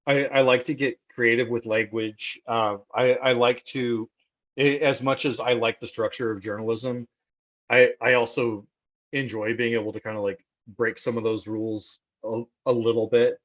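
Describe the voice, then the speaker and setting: An American man from Texas answers interview questions in a casual, conversational way. His voice sits at a medium pitch. He speaks haltingly, doubling back on words as he works out a thought. The recording is somewhat dull and lo-fi. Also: flat delivery, fairly bright tone. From Texas, United States. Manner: halting, repeats words as he thinks aloud. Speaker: interview guest, poet.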